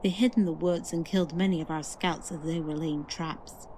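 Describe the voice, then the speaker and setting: A woman's voice: sits low, fairly even intonation, moderate pace, darkish tone, a little hiss or hum, close to an everyday voice. A woman voicing a blunt, disdainful elven sister in an ASMR fantasy roleplay. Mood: grim. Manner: blunt and disdainful, drops into whispers.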